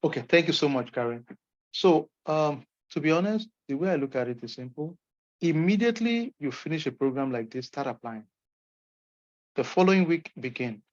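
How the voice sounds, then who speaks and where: A man's voice: a little high, lively intonation, moderate pace, darkish tone. A man from Nigeria with a Nigerian accent, an instructor answering questions in an online session in an emphatic, encouraging way. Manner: encouraging, emphatic, folksy asides. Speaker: course instructor. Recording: muffled lo-fi call audio.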